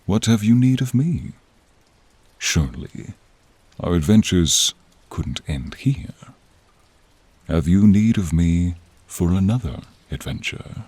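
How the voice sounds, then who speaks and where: A man voicing a noble, friendly silver dragon in a fantasy roleplay, deep and breathy, with solemn, old-fashioned courtly speech addressed to the listener. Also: lively intonation, a little mellow, no echo, full character voice. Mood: solemn, devoted. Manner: slow, archaic, solemn, addressing listener directly. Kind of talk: scripted fantasy ASMR roleplay. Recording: rain sound effects behind the voice.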